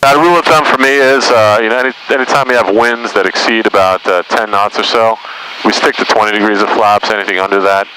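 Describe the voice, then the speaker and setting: Low-voiced male flight instructor in noisy cockpit. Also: fairly even intonation, fast. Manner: instructive, explanatory. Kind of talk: calm step-by-step cockpit instruction. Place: inside a small Cessna airplane. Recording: very noisy light-aircraft cockpit, distant at times.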